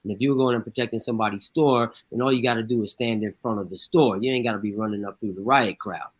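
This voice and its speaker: A man's voice: on the low side, fast talker. A man on a talk show making his points emphatically, with rhetorical questions and a blunt, argumentative manner. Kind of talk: casual radio talk show.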